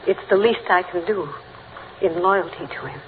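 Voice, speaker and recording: A woman playing a genteel grieving widow in 1940s radio drama, speaking in a measured, formal way. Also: on the low side, lightly acted. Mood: solemn, wounded. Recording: tinny, band-limited old radio with faint noise.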